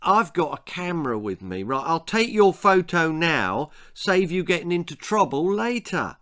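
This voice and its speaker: A man plays a twelve-year-old schoolboy bully from a children's storybook. He is cocky and sneering, piling on fake sympathy as he mocks his victim, with a pitch lifted above a grown man's talk. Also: big pitch swings, moderate pace, fairly bright tone. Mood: falsely friendly. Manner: mocking, falsely sympathetic.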